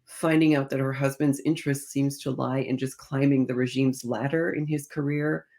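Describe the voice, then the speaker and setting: A woman speaking as a guest author in a conversational interview, thinking aloud as she tells stories in an animated manner. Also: extremely low pitch, normal speed. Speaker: guest author discussing own novels.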